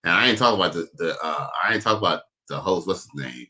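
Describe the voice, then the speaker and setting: A man's voice: sits low, animated, quick pace, bright, forceful tone. A man hosting a casual reaction commentary, rambling and profane, often stopping to search for a word. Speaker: commentary show host.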